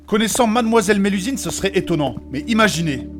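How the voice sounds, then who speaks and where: A man's voice: sits high, animated, fast. A man playing a formal, courteous, earnest and moralizing companion in a comedic fantasy audio drama.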